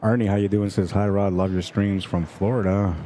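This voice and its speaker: Low, mellow, soothing male commentator. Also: a little fast, slight background noise. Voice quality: soothing, relaxing voice. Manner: calm, easygoing and chatty, with soft laughs. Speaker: pool stream commentator. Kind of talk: casual live-stream commentary, reading viewer comments aloud. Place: pool tournament venue, indoors.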